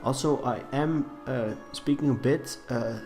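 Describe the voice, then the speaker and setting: A young man with a cold, talking quietly and hesitantly as he commentates on a game, with many fillers. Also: mid-range voice, soft background music. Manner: deliberately quiet, subdued delivery. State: has a cold. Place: room in a shared flat.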